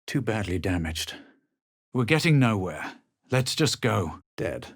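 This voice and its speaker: A man voicing a self-assured adventurer hero in a fantasy video game, with a husky voice and a measured, slightly superior, dramatic delivery. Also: fairly low pitch, very animated, no echo, clean studio sound, heavily acted. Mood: wary, musing. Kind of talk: video game voice acting, barks and past-tense narration.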